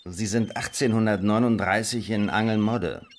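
Low-voiced, stern border officer played by a man. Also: moderate pace, a little hiss or hum, natural voice. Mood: officious, skeptical. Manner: clipped, official, interrogating. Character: stern, suspicious male colonial immigration officer. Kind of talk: radio play acting.

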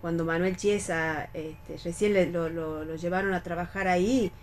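A woman's voice: on the low side, fairly even intonation, normal speed, slight background noise. A woman with an Argentine accent chatting casually as a panelist on a radio talk show.

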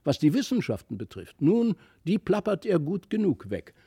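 Mann spielt trotzigen kleinen Jungen, deutlich höhere Stimme. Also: male voice, high-pitched, very animated, normal speed, warm tone, husky, no room sound, studio quality, pitched above the performer's own voice, full character voice. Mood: scornful. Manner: exclaiming, boastful, dismissive. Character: spirited, scornful young boy in a fairy tale. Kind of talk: storybook character reading.

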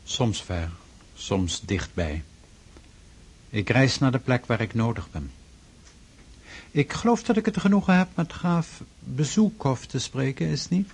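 An actor playing a stern, pious Russian freemason in a radio drama, speaking formally and insistently with a husky voice. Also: male voice, mid-range voice, expressive, darkish tone. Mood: grave, courteous. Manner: formal, measured, preaching, insistent.

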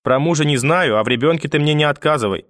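A man's voice: a little high, fast, a bit bright, no echo, studio quality, voice pushed up, close to an everyday voice. A man voicing a wounded, reproachful mother who pleads, in an audiobook reading. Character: hurt, reproachful, tearful mother, adult woman. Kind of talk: audiobook character acting.

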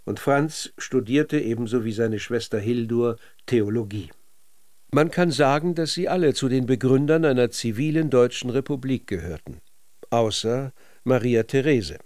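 A man narrating a scripted radio documentary in a measured, literary manner. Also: mid-range voice, animated, darkish tone.

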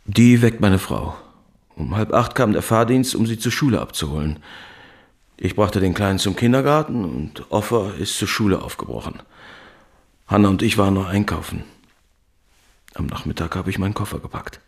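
Reserved dad in his 40s, radio play, deep voice, plain factual delivery. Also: animated, normal speed, gravelly. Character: reserved father in his forties, a ship's engineer. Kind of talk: radio drama acting.

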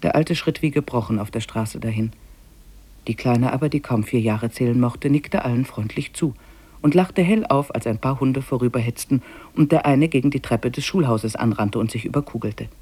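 A man's voice: mid-range voice, moderate pace, darkish tone, breathy edge, no room sound. A man narrating a literary tale in a 1950s German radio drama, reading from a script.

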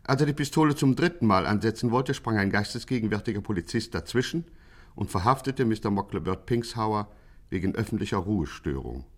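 Male narrator for radio crime satire. Lowish voice, dry deadpan, measured scripted delivery.

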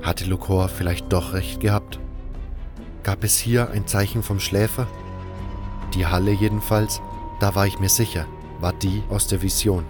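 A man's voice: deep voice, fairly even intonation, moderate pace, over music. A man reading scripted first-person narration for a fantasy audio drama.

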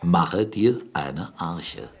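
An actor playing a forceful, excitable captain and mill owner in a radio play, mocking and animated, swinging between murmurs and exclamations. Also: male voice, deep voice, very slow, heavily acted. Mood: grave, reciting. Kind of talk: German radio play dialogue.

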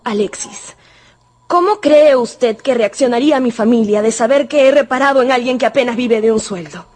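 A woman's voice: a little high, normal speed, darkish tone, lightly acted. An actress playing a proud young aristocratic lady in a radio drama, formal and imploring. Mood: urgent, torn. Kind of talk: Spanish-language radio drama acting.

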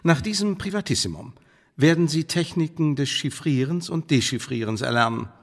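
A man's voice: a little high, lively intonation, normal speed. An actor playing a stern, cautious British spymaster in a German radio play, speaking formally and measuredly as he warns and briefs. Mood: stern briefing. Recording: reverberant hall-like room.

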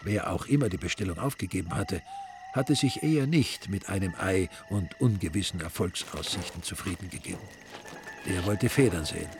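A man's voice: sits low, moderate pace, background noise. A man with an Austrian accent narrating a scripted radio crime drama in a dry, wry way. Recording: music behind the narration.

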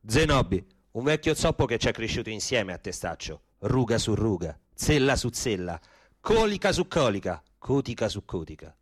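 A man's voice: on the low side, big pitch swings, normal speed. A man delivering theatrical, poetic scripted narration for a radio play, with music in the background.

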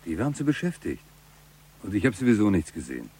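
An actor playing a cold-blooded, businesslike gangster in a German radio crime drama. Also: male voice, lowish voice, very animated, quick pace, a little mellow, slight background noise. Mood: dismissive, cool. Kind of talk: German radio play dialogue.

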